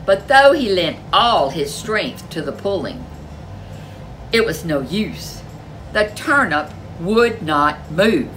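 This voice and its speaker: A woman's voice: fairly low pitch, very animated, slow, fairly bright tone, slight background noise, low-quality recording. A woman storyteller reading a folk tale aloud in a dramatic, emphatic way. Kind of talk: animated folk tale narration for children.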